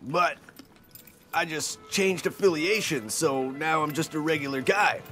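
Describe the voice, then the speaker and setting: A man's voice: fairly high pitch, measured pace, sharp tone. A man playing a boisterous, carefree big samurai warrior in a video game, with a swaggering, easygoing manner. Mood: breezy, teasing. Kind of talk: dramatic English video game voice acting. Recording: game cutscene with music behind.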